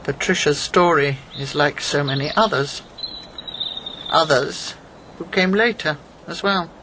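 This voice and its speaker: A woman's voice: deep voice, a little slow, background noise. An actress in a radio drama playing a shrewd, unsentimental older woman, once a British intelligence officer, blunt and assured. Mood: reflective.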